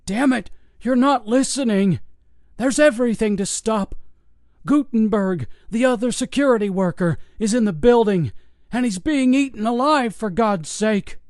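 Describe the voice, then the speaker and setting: A man voicing a panicked old security guard who shouts and swears in a high, strained voice. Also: unhurried, dry recording, studio quality. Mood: frantic.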